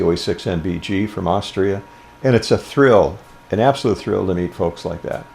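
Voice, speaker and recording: An older man giving an informal instructional talk about a hobby, speaking plainly and with deliberate phrasing. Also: fairly low pitch, gravelly, darkish tone, a little hiss or hum. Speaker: amateur radio presenter.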